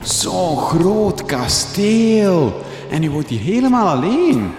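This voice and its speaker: A man's voice: sits high, very animated, slow, exaggerated voice. An actor playing a naive, boyishly curious and enthusiastic male reporter in a gothic horror comedy radio play. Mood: naive curiosity. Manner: innocent, inquisitive, boyish. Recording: echoey reverb, music behind.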